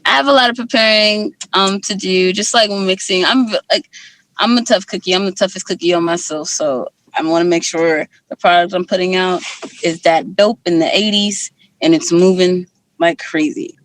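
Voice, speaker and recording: A woman's voice: fairly low pitch, moderate pace, fairly bright tone. A young woman from Guyana, guest musician in a casual interview, talking candidly with lots of fillers.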